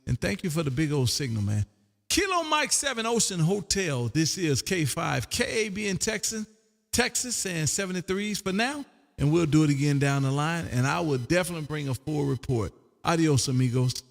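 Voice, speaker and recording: A man's voice: fairly high pitch, very animated, normal speed, creaky, soft background music. A man hosting a casual ham radio chat, talking in an exuberant, folksy, chatty way. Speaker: amateur radio livestream host and operator. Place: radio room at home, livestreamed. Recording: voice with long hall reverb.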